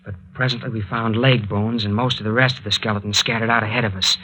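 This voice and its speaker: An actor playing a protective, rattled young man in a 1940s radio serial, earnest and halting in his delivery. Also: lowish voice, rough recording. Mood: grave, suspenseful. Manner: earnest, halting, soothing, then excitable. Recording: vintage 1940s radio recording.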